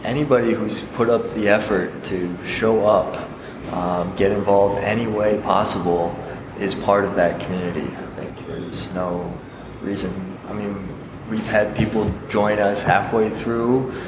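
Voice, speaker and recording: A man's voice: fairly low pitch, steady tone, measured pace. A man, an architect, talking casually in a group discussion and rambling, with many fillers and restarts. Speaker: architect, program organizer. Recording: echoey hall with loud room noise.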